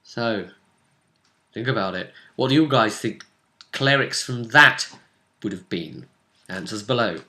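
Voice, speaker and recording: A man's voice: on the low side, unhurried, long pauses, bright, forceful tone. A British man speaking as game master, casually recapping a tabletop role-playing session and dropping teasing hints.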